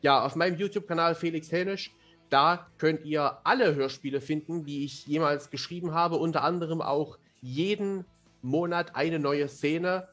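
A man's voice: a little high, moderate pace, a bit bright, soft background music. A man from Germany, chatting casually as an interview guest in a matter-of-fact, self-deprecating way. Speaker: podcast guest, fantasy author.

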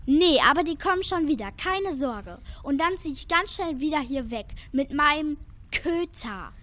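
Female player as a cheeky high-voiced girl. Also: expressive, moderate pace, fairly bright tone. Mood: wry. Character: cheeky, exasperated girl staying with grandma. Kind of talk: German radio play acting.

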